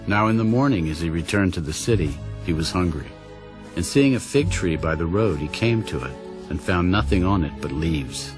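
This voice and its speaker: Deep male narrator over swelling music. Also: expressive, moderate pace. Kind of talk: scripted dramatic Bible narration. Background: background music.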